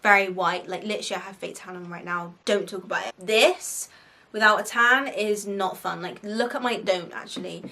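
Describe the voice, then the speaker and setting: A woman's voice: medium pitch, normal speed, bright, forceful tone. A young woman with a Bristolian accent, hosting a faith podcast and giving frank, casual advice full of "like" fillers. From Bristol, England. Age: young adult. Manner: chatty, frank, lots of "like" fillers, frequent laughs.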